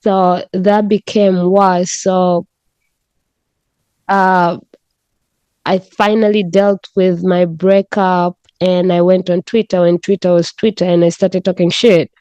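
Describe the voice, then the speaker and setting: A woman's voice: fairly low pitch, little pitch movement, takes it slowly, dark tone, clean tone, no echo, highs cut off. A woman from Tanzania, a podcast guest speaking frankly and with animation, swearing freely. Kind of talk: candid conversational storytelling.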